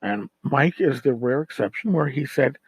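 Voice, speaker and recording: A man's voice: medium pitch, lively intonation, moderate pace, breathy edge, no echo, poor audio. Man, middle-aged, podcast host, casual rant, blunt and sweary, exasperated, rambling, dry close sound. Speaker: tabletop gaming podcast host. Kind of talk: casual ranting monologue.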